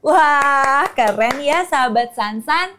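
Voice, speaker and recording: A woman hosting and telling stories and riddles to young children, animated, with frequent cheerful exclamations. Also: high voice, slow pace. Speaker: children's storyteller and host.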